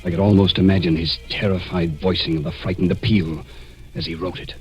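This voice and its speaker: A man's voice: low-pitched, flat delivery, a little fast, breathy edge. An actor playing a desperate, cornered murderer narrating his confession in a tense, dramatic 1940s radio thriller style. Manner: tense, dramatic first-person storytelling. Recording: dull 1940s radio recording, music behind.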